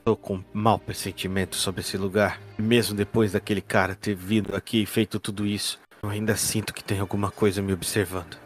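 Man as a rattled, lower-voiced hard-boiled detective. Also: little pitch movement, brisk, few pauses, soft background music, natural voice. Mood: uneasy. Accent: Brazilian. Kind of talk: tabletop role-play audio drama.

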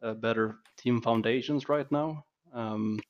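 A man's voice: medium pitch, fairly even intonation, measured pace, lo-fi sound. A man explaining things casually as an esports director on a panel.

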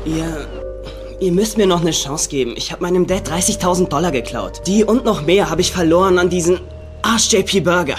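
A sullen young male gambler, played by an actor, with a slightly high voice and a defensive edge. It is dubbed series dialogue with music underneath. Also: a little fast, natural voice. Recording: music behind the voice.

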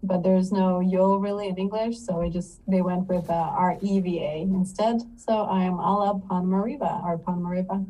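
A woman's voice: fairly low pitch, normal speed, hardly any pauses, recorded from a distance, rough recording. A woman from Ukraine, an English teacher and photographer, talking casually as a podcast guest with an easygoing manner. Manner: relaxed, laughs easily, many fillers. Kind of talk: casual interview talk.

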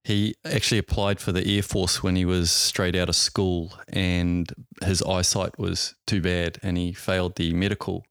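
A New man in his fifties with a very low, deep voice, recalling his past in a loose, rambling manner. He talks casually as a podcast guest, pausing often on um and uh. The sound is close and dry. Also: level tone, clean tone, no room sound. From New Zealand. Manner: rambling, halting, heavy with um and uh. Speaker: guest, helicopter pilot. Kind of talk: casual interview storytelling.

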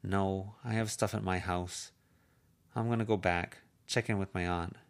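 A man's voice: low voice, fairly even intonation, a little slow, creaky, clear, resonant tone. A man reading an amateur audiobook, voicing a furious teenage girl who hurls bitter, cutting insults. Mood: hard, curt.

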